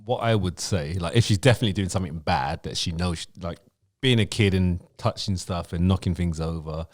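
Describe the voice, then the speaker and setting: A middle-aged man chatting on a podcast, giving advice in a lively, teasing way. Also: deep voice, fairly quick. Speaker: podcast co-host. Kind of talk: casual chat, giving advice.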